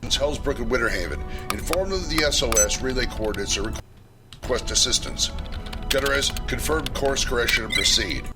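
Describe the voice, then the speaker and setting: A man's voice: medium pitch, lively intonation, fairly quick, loud music behind the voice, close to an everyday voice. A man playing a commanding starship captain giving firm orders in a sci-fi audio drama.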